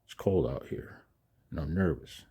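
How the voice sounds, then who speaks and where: An older man talking casually and in a rambling way to his viewers as a video host, folksy and halting, with a gravelly voice. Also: very deep voice, warm tone. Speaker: amateur radio operator and video host. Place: outdoors in the cold.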